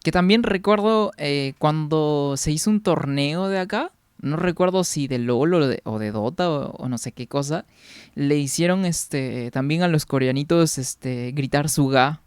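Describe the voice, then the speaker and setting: A man's voice: on the high side, unhurried, smooth, no echo, clean studio sound. A young man from Peru, chatting casually with viewers during a game stream, rambling along and answering their comments.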